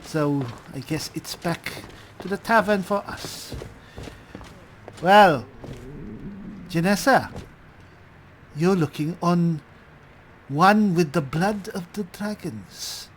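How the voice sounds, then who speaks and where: A man playing a haughty, wry high elf spellsword in a fantasy game roleplay, speaking deliberately with musing pauses. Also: a little hiss or hum. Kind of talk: roleplay acting over a fantasy video game.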